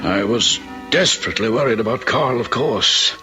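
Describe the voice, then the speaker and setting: An actor playing a concerned friend who tells the tale in a radio drama, earnest and formal. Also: male voice, medium pitch, lively intonation, normal speed, loud music behind the voice, close to an everyday voice. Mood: worried, reflective. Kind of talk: old-time radio drama acting and narration.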